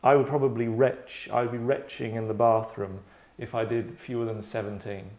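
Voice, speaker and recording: A man's voice: mid-range voice, little pitch movement, moderate pace, darkish tone. A man in casual host mode, talking in a mid-range voice. He rambles and digresses with a tongue-in-cheek manner as he sets up a script reading. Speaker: host and writer running a table read.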